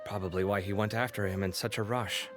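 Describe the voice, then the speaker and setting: A man's voice: fairly low pitch, steady tone, fast talker, music in the background, close to an everyday voice. An actor playing a protective young man in an anime-style video game, talking in a conversational, slightly fretful way. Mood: speculating. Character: concerned, expressive young man, protective friend.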